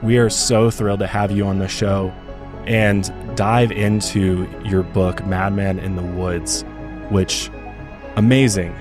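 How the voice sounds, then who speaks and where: A hoarse male podcast host, chatty and rambling, talking in a studio. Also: lowish voice, little pitch movement, slow, over music. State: hoarse from illness. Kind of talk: podcast interview.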